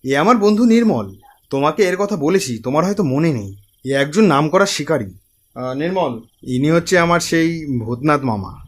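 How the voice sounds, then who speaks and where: A man voicing a nervous young man who talks in a low, hushed voice as he guides a friend. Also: lively intonation, normal speed. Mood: relieved.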